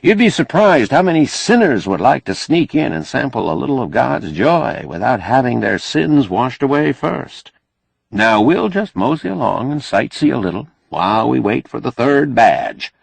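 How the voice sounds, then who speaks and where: A man voicing a folksy, pushy, glad-handing senator who preaches, loud and emphatic, for an audiobook. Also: medium pitch, very animated, normal speed, vocal fry, slightly rough, no echo, voice pushed up, heavily acted. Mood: brisk, folksy. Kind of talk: audiobook character acting.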